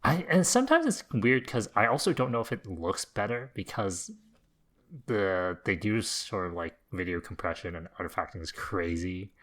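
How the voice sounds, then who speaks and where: A man with a fairly low but bright, forceful voice talks casually as a podcast co-host. He reasons conversationally through his side of a friendly debate. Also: sing-song, normal speed. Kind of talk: casual debate about video games.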